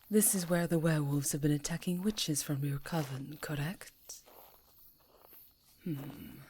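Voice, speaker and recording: A woman voicing a haughty, elegant vampire countess in a hushed, theatrical ASMR roleplay. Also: sits low, slight background noise. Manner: hushed, breathy, theatrical, slow and deliberate.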